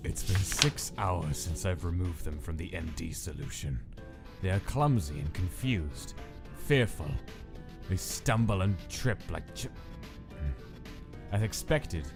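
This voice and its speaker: A man's voice: deep voice, lively intonation, slow pace, vocal fry, full character voice. A man playing a cold, irritable skeleton scientist who narrates his log in a formal, precise manner for a comic dub. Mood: detached, clinical. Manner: formal, precise, terse, exasperated when disturbed. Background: music.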